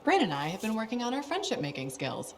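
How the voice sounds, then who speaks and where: A woman's voice: fairly high pitch, huge pitch swings, quick pace. A woman playing a friendly freelance adventurer in a video game, casual and dryly teasing. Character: wry, friendly female freelance adventurer hero. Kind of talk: video game dialogue acting.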